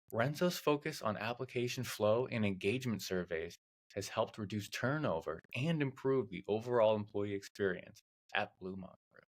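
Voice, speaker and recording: A man reading a scripted episode introduction for a podcast in an even, read-aloud delivery. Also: lowish voice. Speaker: show announcer.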